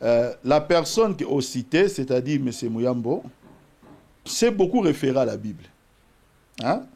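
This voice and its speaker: A man's voice: medium pitch, unhurried, gravelly, breathy edge. A man from the Congo with a Congolese accent, a political guest arguing his case in a debate and quoting scripture. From DR Congo, Bas-Congo. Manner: animated, argumentative, cites scripture.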